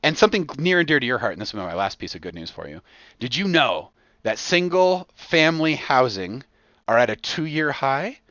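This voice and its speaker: An American man hosting a casual comedic podcast, talking in an excitable, profane way with punchy repetition. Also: medium pitch, moderate pace, no room sound, highs cut off. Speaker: podcast host.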